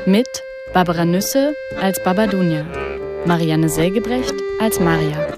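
A woman announcer with a lowish voice reads out scripted radio drama credits. Loud accordion and guitar music plays behind her. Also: lively intonation, unhurried.

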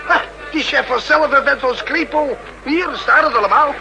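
An actor playing an agitated, indignant man with an excitable, emphatic delivery in a 1960s radio drama. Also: very high voice, normal speed, creaky, highs cut off, background noise.

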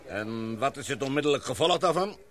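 Man acting composed, commanding older gentleman. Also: medium pitch, lively intonation, fairly quick, slight background noise, lightly acted. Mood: composed, probing. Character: authoritative older titled gentleman taking command, courteous, probing. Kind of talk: Dutch radio play acting.

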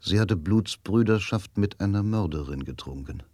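A man narrating a radio drama in a measured, literary and dramatic manner. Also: on the low side, animated, darkish tone, dry recording, studio quality. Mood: grave, suspenseful. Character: grave literary narrator of a crime story. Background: music.

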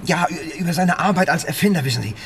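Pleading local reporter, somewhat high, stammers. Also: male voice, expressive, quick pace, a little hiss or hum. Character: polite pushy male local reporter. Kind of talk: radio drama acting.

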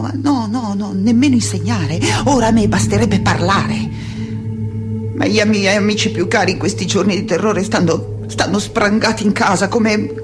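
Actress doing anguished aging lady scholar, radio drama monologue, halting n impassioned, music behind. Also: mid-range voice, close to an everyday voice. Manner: halting, repeating words, impassioned. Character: anguished, defiant aging woman scholar facing persecution. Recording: music under the voice.

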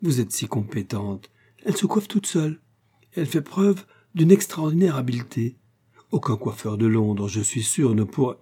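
A man reading aloud, voicing a chattering guest at a ball who rambles on with breathless flattery. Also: mid-range voice, moderate pace, slightly rough. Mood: gushing.

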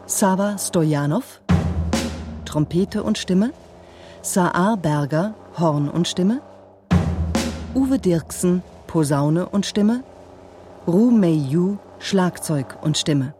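A woman radio announcer reading scripted credits in a measured, precise manner. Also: on the low side, lively intonation. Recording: radio production with music behind. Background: instrumental music.

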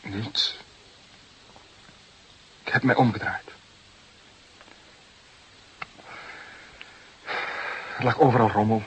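An actor playing a desperate, defensive young murder suspect of about twenty, nervy and halting under interrogation in a crime drama. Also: male voice, fairly low pitch, fairly even intonation, natural voice, rough recording. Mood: subdued. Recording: clearly hissy background noise.

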